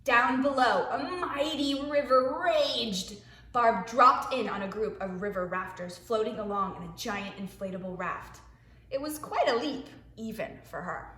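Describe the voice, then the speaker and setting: A woman, a preschool teacher, reading a picture book aloud to young children in an expressive way, with hushed asides. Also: fairly high pitch, unhurried. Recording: echoey room.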